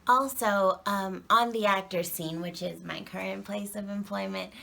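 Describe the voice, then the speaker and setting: Soft-voiced woman with heavy vocal fry. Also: medium pitch, fairly even intonation, a little hiss or hum. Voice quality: naturally soft voice. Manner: earnest, measured, with frequent ums. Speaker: acting and presentation coach. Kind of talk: recorded application statement.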